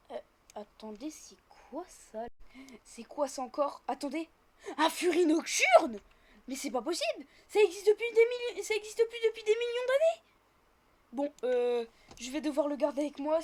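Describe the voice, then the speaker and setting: A female speaker, casually improvising a roleplay while gaming and chattily narrating each action. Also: high-pitched. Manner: chatty, narrates own actions as they happen. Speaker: host of gaming roleplay videos.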